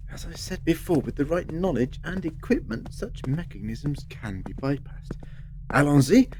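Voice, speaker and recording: An actor playing a brisk, articulate time-traveling adventurer in an audio drama, with a measured, wryly reassuring manner. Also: male voice, on the high side, animated, background noise, lightly acted. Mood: brisk, decisive.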